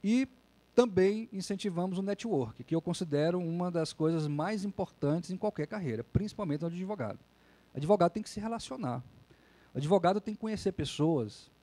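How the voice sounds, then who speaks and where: A male lawyer delivers a public talk in a relaxed, conversational way, often checking in with his listeners through short tag questions. His voice sits a little high and has a soft, mellow tone. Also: fairly quick. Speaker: lawyer and lecturer. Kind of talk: public lecture.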